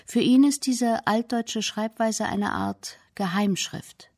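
A woman narrating a documentary in a measured, factual way. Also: on the high side, darkish tone.

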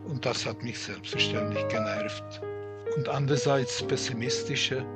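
An older man calmly recalling his childhood and his parents in a personal testimony. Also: medium pitch, a little slow, loud music behind the voice.

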